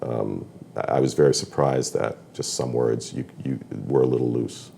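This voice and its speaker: A middle-aged man from Massachusetts, a voiceover artist chatting as a podcast guest, halting and thoughtful with frequent restarts. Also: a bass voice, steady tone, gravelly, dark tone, a little hiss or hum. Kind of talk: casual conversation.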